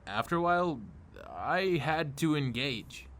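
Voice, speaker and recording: A man's voice: on the high side, lively intonation, unhurried, fairly bright tone. A man playing an earnest, wry teenage comic fan turned amateur superhero, narrating a comic dub in a confessional way. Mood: wry, reflective. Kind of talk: comic dub, first-person narration and dialogue.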